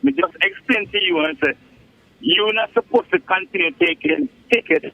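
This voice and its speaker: A Jamaican man hosting a casual group discussion, insistent and repetitive, his voice jumping high when he presses a point. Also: animated, moderate pace, vocal fry. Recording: dull dry lo-fi sound.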